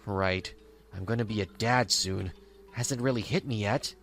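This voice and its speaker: A man's voice: on the low side, a little slow, fairly bright tone, soft background music. A man voicing a careless, cocky and lazy young husband in a manga dub. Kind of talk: scripted manga dub dialogue.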